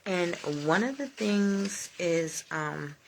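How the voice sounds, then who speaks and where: A woman's voice: on the low side, lively intonation, slow, gravelly, background noise. A woman whose first language was Spanish, hosting a casual, rambling livestream with plenty of fillers. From United States, Honduran family. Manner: rambling, chatty, many fillers. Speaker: livestream host. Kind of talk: casual reflective live chat.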